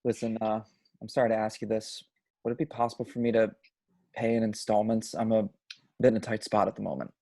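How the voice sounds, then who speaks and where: A man's voice: fairly low pitch, level tone, fairly quick, creaky, mellow, no echo. A man at a home table read playing a troubled young city man, hesitant and naturalistic. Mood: hesitant, subdued.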